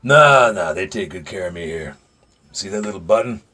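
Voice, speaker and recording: An actor playing a dying retired Secret Service agent in an audio drama, older, gruff and folksy, weary and confiding, with dry humor. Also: male voice, low-pitched, lively intonation, normal speed, bright, forceful tone, full character voice. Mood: weak, welcoming.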